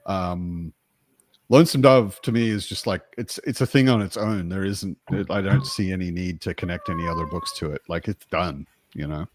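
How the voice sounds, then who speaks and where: A man's voice: deep voice, no room sound. A man casually discussing books in a reflective, somewhat hesitant way. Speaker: book discussion participant.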